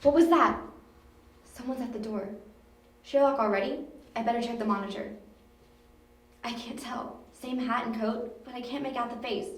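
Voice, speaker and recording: Woman acting as impatient stakeout partner, skeptical, highish voice, amateur detective audio drama, echoey room. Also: normal speed, pauses often, natural voice. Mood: puzzled. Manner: questioning, skeptical. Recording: echoey room, distant mic, faint noise.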